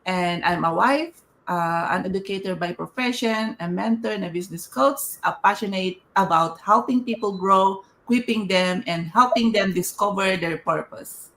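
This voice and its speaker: A woman's voice: mid-range voice, moderate pace, a bit bright. A woman with a Filipino accent introducing herself on a livestream as an educator and coach. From Philippines.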